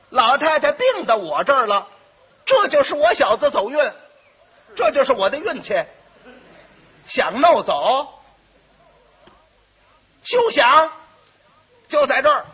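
A stubborn, mocking second brother from a comic story, acted out by a man with a Beijing accent. He stretches words with scorn in a high, rasping voice, on a dull old recording. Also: exaggerated voice. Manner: mocking, drawn-out, defiant.

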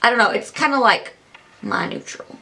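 Young woman beauty vlogger, scratchy allergy voice, rambling, excited, small room, close camera. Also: fairly high pitch, normal speed. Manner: chatty, rambling, enthusiastic. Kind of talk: casual talk to camera.

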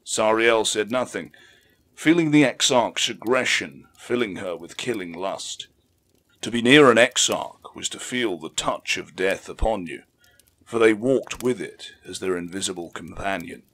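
A man narrating a fiction audiobook in a solemn, deliberate, dramatic storytelling manner. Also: lowish voice, fairly bright tone.

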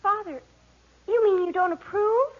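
An actress playing an earnest, melodramatic seventeen-year-old daughter in love, easily offended, in a 1940s radio comedy on a vintage recording. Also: female voice, extremely high pitch, normal speed, phone-quality sound. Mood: hurt, indignant.

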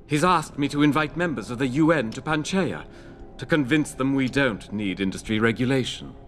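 Older male scientist-inventor, played by a male actor: measured, wry, world-weary game cutscene delivery over soft music. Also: medium pitch, lightly acted. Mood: urbane, wry. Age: 60s. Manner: measured, rhetorical, gently ironic. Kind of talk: video game cutscene acting.

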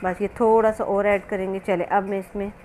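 A woman's voice: mid-range voice, level tone, moderate pace. A woman narrating a recipe casually as a home cooking host, instructional and often repeating herself. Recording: noisy amateur home recording.

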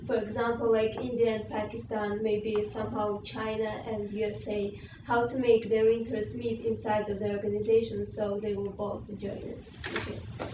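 A woman's voice: mid-range voice, level tone, moderate pace. Polite young woman from Belarus, distant mic. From Russia and Belarus. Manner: polite, hesitant. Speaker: student delegate asking a question. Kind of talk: formal audience question. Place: small conference room. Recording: echoey room, distant mic, clear noise.

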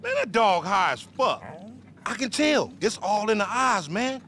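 A loud cocky video game hustler, high pitched man, slangy wisecracks, faint noise behind. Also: very animated, measured pace, full character voice. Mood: amused. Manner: boastful, slangy, teasing. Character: brash, loud, wisecracking male street hustler. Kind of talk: video game cutscene acting.

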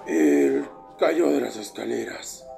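A man voicing a big, gruff, taciturn cartoon tomcat mechanic, terse and deadpan, with a gravelly voice and a Latin American Spanish accent. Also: medium pitch, slow pace, faint music behind. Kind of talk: animated cartoon fan dub.

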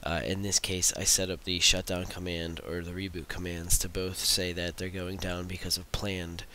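A man presenting a software demo as a casual voice-over, explaining in an informal, unhurried way. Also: deep voice, level tone. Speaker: tutorial presenter demonstrating own script. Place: voice-over for a screen recording. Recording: hissy voice-over recording.

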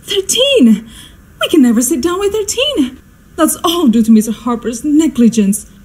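An actress playing a fussy, imperious, status-hungry society mother in a radio drama, theatrical and exclamatory, with a husky voice. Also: on the high side, animated, unhurried, dark tone. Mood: exasperated.

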